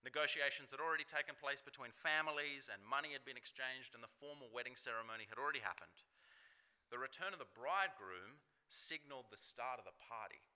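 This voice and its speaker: A man gives a sermon with a very bright, ringing voice. He talks to the congregation in a chatty, relaxed way, with casual fillers, at a middle pitch. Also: a little fast, clean tone. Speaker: preacher.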